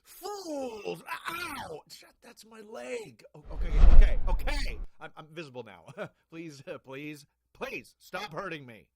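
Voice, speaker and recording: A whiny little crook, high-voiced and swooping wildly in pitch. He stammers and pleads like a cornered thief in a radio adventure serial, with noise on the track. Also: sing-song, slow, few pauses, exaggerated voice. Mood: whining, pleading. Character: cornered, whining, excitable petty thief. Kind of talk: old-time radio adventure acting.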